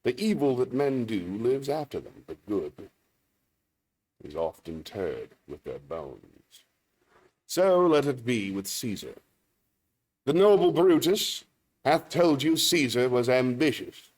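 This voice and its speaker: A man performing a solemn Roman funeral orator in a measured, rhetorical Shakespearean stage monologue. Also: medium pitch, sing-song, a little mellow, pitched above the performer's own voice. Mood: solemn oration.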